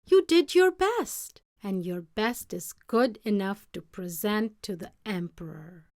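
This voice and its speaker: A woman's voice: medium pitch, lively intonation, takes it slowly, darkish tone, no room sound, clean studio sound. A woman voicing a supportive, reassuring father with an encouraging, emphatic manner in a storybook.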